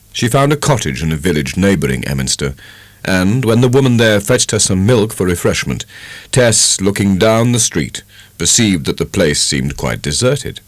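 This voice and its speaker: A low-voiced British man narrates a classic novel in a measured, dramatic style. His intonation moves widely and expressively, and the recording is dry and close. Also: dry recording. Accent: British English. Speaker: audiobook narrator. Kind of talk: dramatic scripted literary narration.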